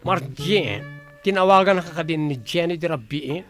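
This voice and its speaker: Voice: mid-range voice, moderate pace, soft background music, lightly acted. An actor playing a sassy, teasing, campy friend with a Filipino accent, exclamatory in radio drama style. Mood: gossipy.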